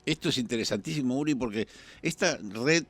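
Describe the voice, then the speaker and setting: Un periodista peruano, invitado en un programa de debate político, que habla pausado y piensa en voz alta entre muletillas. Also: male voice, mid-range voice, animated, soft background music. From Peru. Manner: measured, thinking aloud with pauses and fillers. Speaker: journalist guest. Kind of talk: political talk-show discussion.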